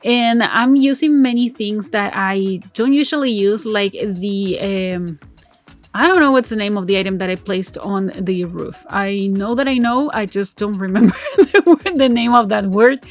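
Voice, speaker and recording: A woman's voice: medium pitch, expressive, moderate pace, soft background music. A woman whose first language is Spanish, giving casual voice-over commentary on a building game, rambling through her choices with fillers and self-corrections and often laughing at herself. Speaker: game build video creator.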